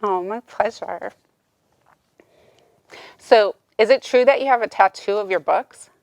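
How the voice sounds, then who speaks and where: A woman's voice: mid-range voice, a little slow, darkish tone, dry recording. A woman interviewing an author, chatting casually and encouragingly. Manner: enthusiastic, encouraging.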